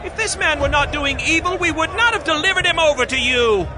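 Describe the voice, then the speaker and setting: An actor playing an indignant, insistent priest who accuses urgently in a declamatory scripture drama. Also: male voice, extremely high pitch, brisk, noisy background. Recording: crowd and music behind.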